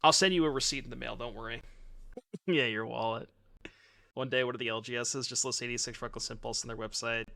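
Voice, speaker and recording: A man in his thirties with a bright, forceful voice, talking casually into a desk mic at home. He banters with his chat, joking and teasing as he plays, and his pitch sits in the middle range. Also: fairly even intonation, fairly quick, gravelly. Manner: joking, chatty, answers chat while playing. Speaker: streamer. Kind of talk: casual gameplay commentary reading chat. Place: home streaming room. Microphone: desk mic with webcam.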